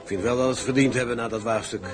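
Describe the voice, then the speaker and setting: A man's voice: medium pitch, steady tone, very fast, natural voice. Man acting as breezy, blunt sailor over music. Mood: contented. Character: breezy, blunt seaman, the captain's carefree old comrade. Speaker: actor in an audio drama. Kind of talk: dramatic dialogue. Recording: music behind the voice.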